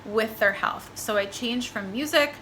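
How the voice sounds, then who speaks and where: A woman's voice: fairly high pitch, a little slow, pushed and bright, slight background noise, rough recording. A young woman from Texas, chatting upbeat in a casual vlog introduction. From Texas, USA. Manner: upbeat and chatty, with light laughs. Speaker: vlogger, military spouse.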